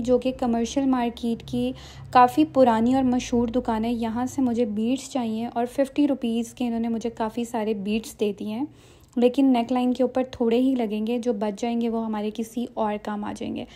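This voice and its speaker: A woman's voice: a little high, dark tone. A young woman from Pakistan narrating her shopping vlog in a chatty way, walking through the prices step by step. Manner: chatty, explains prices step by step, mixes in English phrases. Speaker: vlogger.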